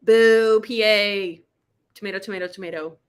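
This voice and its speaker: A woman's voice: mid-range voice, fairly even intonation, moderate pace, smooth. A woman hosting a casual book chat livestream, with a playful, exclamatory, slangy way of talking. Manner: playful, exclamatory, slangy, spells out internet abbreviations. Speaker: book club live show host.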